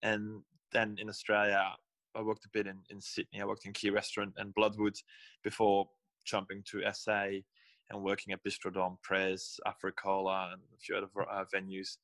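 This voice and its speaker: Young man, lowish voice, loose rambling talk, dry close recording. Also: completely flat delivery, normal speed, fairly bright tone, no room sound. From Belgium. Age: young adult. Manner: rambling, restarts and self-corrections. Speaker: guest winemaker. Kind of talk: casual interview.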